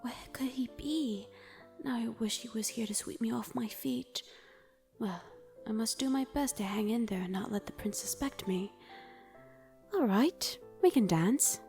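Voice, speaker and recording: A woman's voice: on the high side, a little slow, creaky, darkish tone, faint music behind. A woman playing a proper, anxious young princess in a romantic ASMR roleplay, speaking in a genteel, hesitant way. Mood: worried, secretive.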